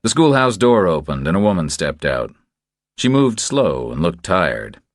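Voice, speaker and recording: A man narrates a thriller audiobook in an extremely deep voice. The recording is dry and close, with no room sound around the scripted reading. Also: very animated, measured pace, no room sound.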